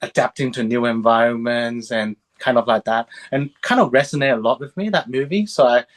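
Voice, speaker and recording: A man's voice: mid-range voice, fairly quick. A man in his thirties, born in Hong Kong and raised in Sydney, chatting as a film critic being interviewed in an easy, rambling way with lots of fillers and restarts. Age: 30s. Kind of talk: casual interview chat.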